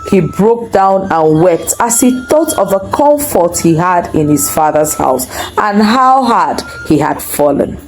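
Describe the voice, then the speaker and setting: A woman narrating a scripted folktale in an expressive, deliberate storytelling manner. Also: on the low side, faint music behind, poor audio.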